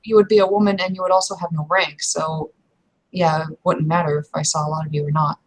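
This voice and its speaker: A woman playing a blunt but hesitant female werewolf in a tabletop game, talking in a halting, matter-of-fact way. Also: sits low, steady tone, rough recording.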